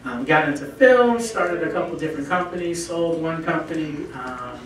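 A man's voice: fairly high pitch, normal speed, fairly bright tone, slight background noise. A man in his thirties from Chicago, speaking earnestly and conversationally to a club meeting as a guest, with many ums. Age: 30s. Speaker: guest speaker, film festival founder. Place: meeting room at a lunch gathering. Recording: very echoey room, distant mic.

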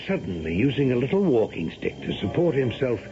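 An actor playing a solemn gentleman narrator, telling an ominous tale in dramatic radio style. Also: medium pitch, big pitch swings, a little fast, over music, lightly acted. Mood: grave, ominous. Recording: lo-fi noisy vintage radio recording.

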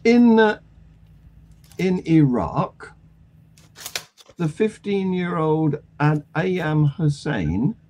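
A man hosting a news discussion on a video call, with a halting delivery. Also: a little high, a little mellow. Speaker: panel show host. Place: indoor home room on a video call.

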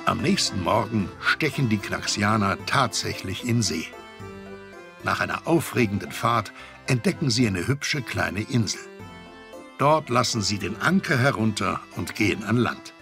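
Deep, creaky male storyteller. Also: animated, measured pace. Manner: rhetorical, storyteller's suspense. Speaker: narrator. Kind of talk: scripted storytelling for children's audio drama. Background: music.

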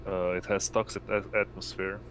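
A man narrating as game master in a tabletop game, halting and pausing mid-sentence. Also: low-pitched. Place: indoors, online voice call. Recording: noisy home mic, steady hum.

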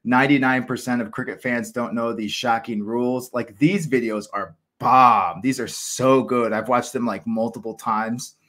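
A man's voice: mid-range voice, fairly even intonation, normal speed, hardly any pauses, a bit bright. Chatty American man on a livestream. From United States. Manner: rambling, enthusiastic, many fillers and restarts. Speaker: cricket channel host. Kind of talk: casual livestream chat. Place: small room indoors at home.

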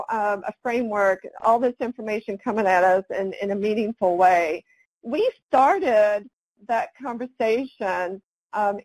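A woman presenting an online webinar, talking through slides and often pausing with "um". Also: on the high side, a little mellow, no room sound, low-quality recording. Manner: halting, with frequent "um" fillers.

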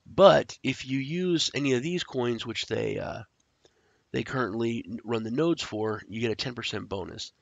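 A man who is sick and stuffed up, casually walking through a screen-share tutorial, rambling with fillers and clearing his throat. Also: mid-range voice, normal speed, no room sound. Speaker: crypto tutorial host. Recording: dry, dull lo-fi audio.

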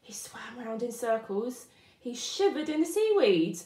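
A woman reading a children's picture book aloud with expressive, deliberate, rhythmic narration. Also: a little high. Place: indoor room, book on wooden surface. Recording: roomy indoor echo.

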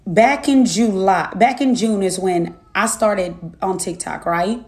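A woman's voice: mid-range voice, slow pace, darkish tone, reverberant. A woman in her twenties, a minister and life coach, preaching her testimony to viewers in an emphatic, repetitive cadence. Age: 20s.

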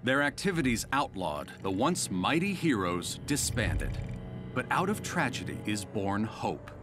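An actor playing a grave, dramatic TV news reporter delivering a scripted report in a video game. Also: male voice, fairly low pitch, big pitch swings, measured pace, over music.

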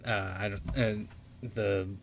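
A man's voice: fairly low pitch, steady tone, slow, noisy background. A man speaking hesitantly in a casual group radio chat. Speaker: radio show participant.

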